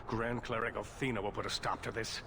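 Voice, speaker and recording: An actor playing a proud, indignant leader of mages in a fantasy game, with a formal, theatrical delivery. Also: male voice, fairly low pitch, steady tone, a little fast, creaky, noisy background. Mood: indignant, defensive. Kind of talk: dramatic fantasy game acting.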